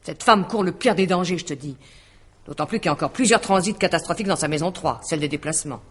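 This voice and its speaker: An actress playing a weary, alarmed astrologer and bitter divorcée, hesitant and sighing, in 1950s French radio drama style. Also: female voice, on the low side, quick pace, slightly rough. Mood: exasperated, alarmed.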